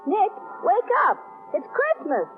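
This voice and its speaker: An actress playing a witty, spirited society wife in 1930s radio drama, arch and playful. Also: female voice, very high-pitched, huge pitch swings, slow pace, soft background music, full character voice. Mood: eager. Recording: noisy, tinny 1930s radio broadcast.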